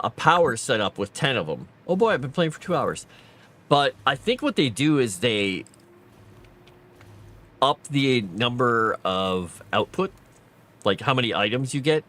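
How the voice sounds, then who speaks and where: A man's voice: mid-range voice, fairly bright tone. A tired man streaming a game late at night, thinking aloud and chatting with viewers. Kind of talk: casual gameplay live stream commentary.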